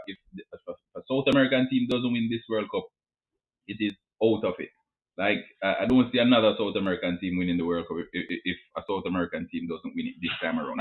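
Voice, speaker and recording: A man co-hosting a casual live-stream chat, loose and chatty, often repeating himself. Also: medium pitch. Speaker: co-host. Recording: tinny phone-like sound.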